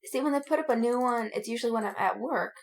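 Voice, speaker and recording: A woman co-hosting a podcast, chatting casually with friends about fan interests in a gushing way, with drawn-out emphasis. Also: a little high. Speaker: podcast co-host.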